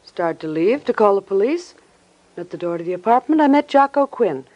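A woman's voice: medium pitch, animated, brisk, a little mellow, highs cut off, natural voice. An actress playing a guarded, impatient woman witness in a 1950s radio detective drama. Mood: guarded, curt. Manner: curt, impatient. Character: exasperated, guarded young woman witness, curt and weary of questions.

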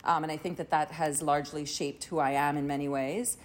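A woman in her forties from Trinidad, chatting as a podcast guest, thoughtful with many pauses. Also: low-pitched, fairly even intonation. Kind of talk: casual interview chat.

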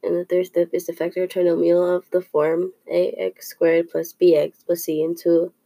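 A woman's voice: fairly low pitch, little pitch movement, gravelly, warm tone, dry recording. A young woman with a sniffly, slightly stuffed-up voice, explaining a math lesson for a podcast, thinking aloud as she goes. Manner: slow and careful, thinking aloud with small restarts. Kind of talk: informal classroom podcast explanation.

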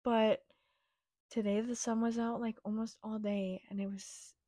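A young woman hosting her own podcast, her voice raspy from a week-long cough, chatting in a gentle, reassuring, rambling way. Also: on the high side, level tone, unhurried, dry recording, clean studio sound. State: raspy from a cold. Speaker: podcast host. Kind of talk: casual solo podcast chat.

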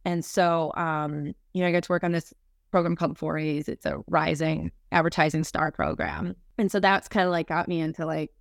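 A woman's voice: sits low, animated, normal speed, creaky, dry recording, studio quality. An American woman from Minnesota speaking as an interview guest, telling career stories informally with lots of "like" and "you know". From Minnesota, United States. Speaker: podcast guest, human resources executive. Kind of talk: casual career interview, conversational storytelling.

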